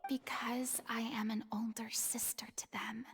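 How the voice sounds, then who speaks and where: Gentle young game heroine, high and slow, soft breathy delivery, reverberant sound. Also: female voice, flat delivery. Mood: solemn.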